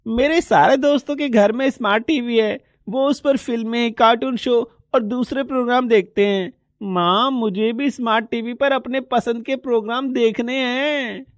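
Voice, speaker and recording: A woman's voice: fairly high pitch, normal speed, hardly any pauses, no room sound, close to an everyday voice. A woman voicing a small, poor schoolboy in a moral story, plaintive and stubbornly pleading. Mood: insistent. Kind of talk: moral story character acting.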